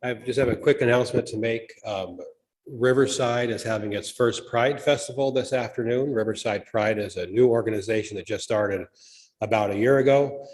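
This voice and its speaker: A man's voice: lowish voice, a little mellow. A man making an informal church announcement in a casual, somewhat hesitant way. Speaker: congregation member making announcements.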